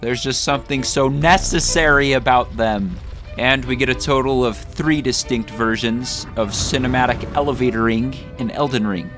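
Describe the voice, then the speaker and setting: A man's voice: mid-range voice, moderate pace, music in the background. A man delivering scripted satirical video commentary in a mock-serious way, with exaggerated theatrical emphasis. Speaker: video creator and narrator.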